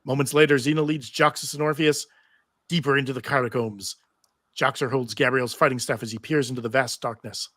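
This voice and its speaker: A man's voice: medium pitch, fairly even intonation, fairly quick, bright, forceful tone. A man serving as narrator, reading stage directions in scripted narration at a live table read.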